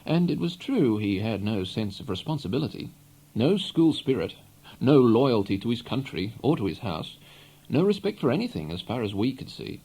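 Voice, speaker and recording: A man reading a literary novel aloud in an expressive, measured storytelling manner. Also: mid-range voice, breathy edge, poor audio. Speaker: audiobook reader. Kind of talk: literary narration, read aloud.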